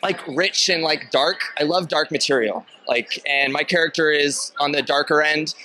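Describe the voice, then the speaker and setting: A man's voice: on the high side, normal speed, bright, forceful tone, slight background noise. A young man from Massachusetts, an actor, chatting in a laid-back, hesitant way full of fillers. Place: film festival red carpet, indoors. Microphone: handheld interview mic.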